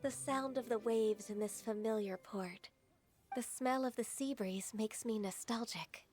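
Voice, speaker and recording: A woman voicing a gentle, earnest half-immortal maiden in an anime video game, speaking in a soft, polite, formal and hesitant way. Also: on the high side, a little slow, faint music behind, close to an everyday voice. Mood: wistful, polite.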